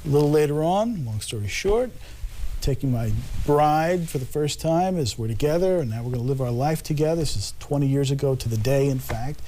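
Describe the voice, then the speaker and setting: Guy interviewing, rambly story-telling with lots of uhs, a touch higher voice. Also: animated, warm tone. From New Jersey. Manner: rambling storyteller, halting with fillers. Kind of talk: casual chat.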